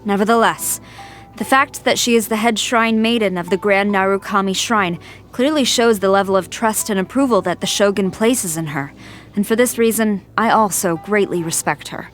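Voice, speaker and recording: A woman playing a stern, loyal military general in a video game, speaking in a formal, measured, earnest way. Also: medium pitch, lightly acted. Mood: formal, resolute. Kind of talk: scripted game character voice lines. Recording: music behind the voice.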